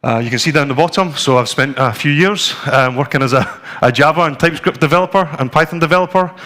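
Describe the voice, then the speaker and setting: A man's voice: on the high side, fast, a bit bright. A man in his forties from Scotland with a Scottish accent, giving a conversational technical talk and live demo to a conference audience, with frequent ums and restarts. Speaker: conference presenter. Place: conference room with a screen and audience.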